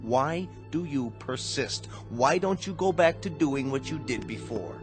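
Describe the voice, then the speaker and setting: An actor playing a weary, exasperated middle-aged talent agent in a radio drama, dry, deliberate and emphatic. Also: male voice, mid-range voice, animated, soft background music, lightly acted.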